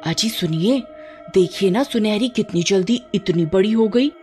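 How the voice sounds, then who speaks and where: A woman voicing a fussy, caring, excitable village wife in a cartoon, fretful and exclaiming. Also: mid-range voice, a little slow, loud music behind the voice, lightly acted. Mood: excited.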